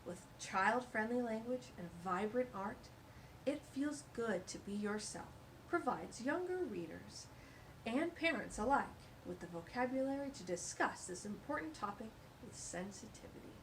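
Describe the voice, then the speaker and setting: A woman's voice: a little high, lively intonation, moderate pace, slight background noise, rough recording. A woman hosting a children's storytime, reading a picture book aloud with gushing, exclamatory asides.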